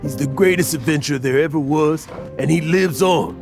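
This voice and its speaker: A man's voice: on the high side, normal speed, music in the background, natural voice. A man voicing an aging, boastful adventurer in a video game, who stammers at times and grows mournful over a lost partner. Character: aging boastful male adventurer, guilt-ridden.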